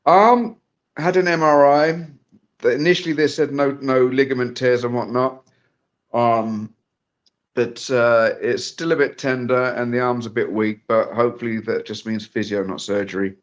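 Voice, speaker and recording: A man chatting as a guest on a football watch-along over a phone call, halting and restarting often. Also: medium pitch, fairly even intonation. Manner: halting, with many fillers and restarts. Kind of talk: casual chat over video call.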